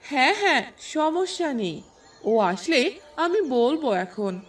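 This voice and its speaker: A woman acts a fond, helpful young village wife for a cartoon. Her voice is high with big swings of pitch, at a slow pace. She sounds slightly far from the mic. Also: sing-song, breathy edge, exaggerated voice. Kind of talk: Bengali cartoon voice acting.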